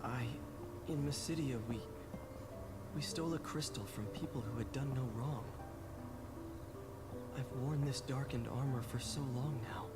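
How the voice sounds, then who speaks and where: An actor playing a brooding, guilt-ridden young knight in a video game, solemn and halting. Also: male voice, mid-range voice, over loud music, close to an everyday voice. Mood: remorseful. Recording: noisy game ambience behind.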